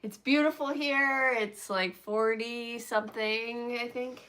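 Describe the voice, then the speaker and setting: A woman's voice: on the high side, steady tone, slow pace, sharp tone. A woman co-hosting a casual live stream from a phone, chatting and laughing as she greets viewers. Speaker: co-host of a stitching live stream. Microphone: phone camera.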